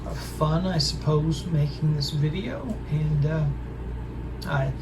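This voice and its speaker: A hoarse man with a raspy voice talks in a halting, hesitant way while showing off records he has collected. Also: fairly high pitch, lively intonation, noisy background. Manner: halting, hesitant, full of pauses. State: hoarse from a throat injury. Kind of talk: casual record haul show-and-tell.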